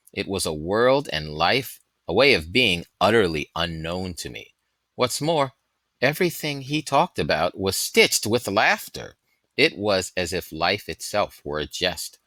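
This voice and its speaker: A man narrates a story in a very low voice with a crisp, forceful edge. His reading is dramatic and deliberately phrased, recorded dry without room echo. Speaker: audiobook reader. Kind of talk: expressive scripted story narration.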